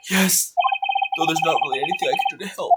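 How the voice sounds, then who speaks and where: A woman's voice: deep voice, fast talker, very noisy, close to an everyday voice. A woman voicing a meek, gloomy male actor in a video game fandub, speaking politely and mournfully. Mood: downcast.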